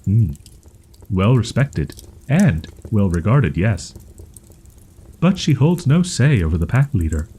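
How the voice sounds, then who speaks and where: Young tsundere wolf-boy, played by a man, ASMR roleplay. Soft, dark, unhurried delivery; archaic wording, proud yet easily flustered and halting. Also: fairly low pitch, sing-song, husky, full character voice. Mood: earnest, explaining. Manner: archaic formal diction, halting, flustered stammers. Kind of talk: audio roleplay, character acting, ASMR.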